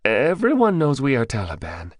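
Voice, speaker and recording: A man's voice: medium pitch, wildly swooping pitch, moderate pace, no room sound, pitched above the performer's own voice. A man voicing a frightened, boastful captive who works as a drug gang's escort in an audiobook, with a pleading, babbling way of talking. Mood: regaining confidence. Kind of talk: audiobook character dialogue.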